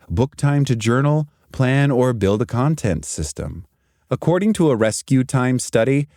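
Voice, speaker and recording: A man giving a scripted motivational career talk in an emphatic, deliberate manner with short punchy sentences. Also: lowish voice, darkish tone, no room sound, clean studio sound. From Zimbabwe and South Africa. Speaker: podcast host and career speaker.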